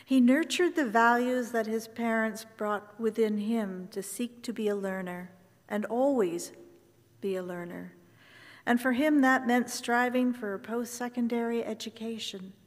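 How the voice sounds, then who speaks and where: Woman pastor giving a soft eulogy at a pulpit mic, big echoey sanctuary, takes her time. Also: fairly high pitch. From Canada. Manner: gentle, unhurried, reflective. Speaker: minister. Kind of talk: funeral eulogy sermon. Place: large wooden church sanctuary with choir. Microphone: pulpit microphone from far camera. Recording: long church reverb.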